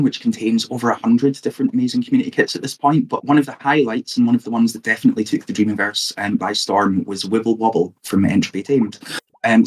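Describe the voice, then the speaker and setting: A man's voice: mid-range voice, rough recording. A man co-hosting a livestream, explaining community games in a friendly, casual way with some fillers. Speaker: livestream co-host. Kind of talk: casual chat, commentary over gameplay.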